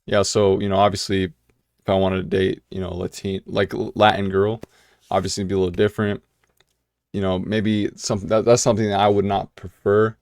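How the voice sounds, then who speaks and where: Laid-back low-voiced young man. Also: level tone, normal speed, darkish tone, no echo, studio quality. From United States. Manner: casual, filler-heavy with many "you know"s and restarts. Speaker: vlogger answering viewer questions. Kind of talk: casual Q&A vlog.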